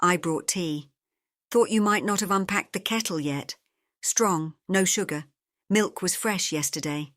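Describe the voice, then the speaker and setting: A woman's voice: on the low side, unhurried, fairly bright tone, no echo, studio quality. A woman playing a watchful landlady in an audio drama, matter-of-fact and clipped, with a faintly knowing air. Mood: brisk. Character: brisk, watchful English landlady of an old block.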